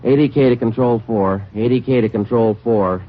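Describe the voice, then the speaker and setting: An American man acting a terse, weary police sergeant who narrates a vintage radio crime drama in a matter-of-fact deadpan. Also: medium pitch, moderate pace, natural voice. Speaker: lead actor and narrator. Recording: muffled old radio recording, music behind.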